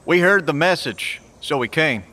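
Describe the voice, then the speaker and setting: An earnest male survivor in an audio drama, leader of a small band. His voice is fairly high and forcefully bright, with informal, polite phrasing. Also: animated, moderate pace. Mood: steady, cooperative.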